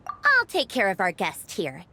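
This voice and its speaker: An actress playing a poised, high-ranking noblewoman in a video game, speaking in a refined, wry and haughty manner. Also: wildly swooping pitch, a little slow, pushed and bright, breathy edge, heavily acted.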